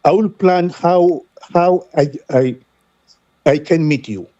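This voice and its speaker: A man from DR Congo with a Congolese accent, talking football as a guest caller in halting, repetitive, emphatic English that is not his first language. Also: fairly high pitch, mellow, no room sound, highs cut off. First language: French. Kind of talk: animated football talk.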